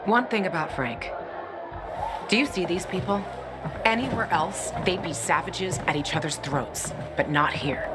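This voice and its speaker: Low-voiced, blunt tough woman played by an actress. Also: a little slow, close to an everyday voice. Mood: brisk, wry. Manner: blunt, teasing. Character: tough, playful young female fighter. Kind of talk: video game cutscene dialogue. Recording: music and crowd behind.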